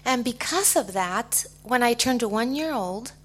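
A middle-aged woman with an American accent giving a personal testimony in short phrases, pausing for an interpreter. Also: fairly high pitch, animated, a bit bright, husky. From United States. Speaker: guest speaker giving testimony.

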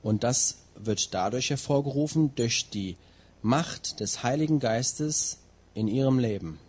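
Husky male church interpreter. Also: medium pitch, measured pace, a little mellow. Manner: translates line by line, with some fillers and restarts. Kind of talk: sermon interpreting and scripture reading.

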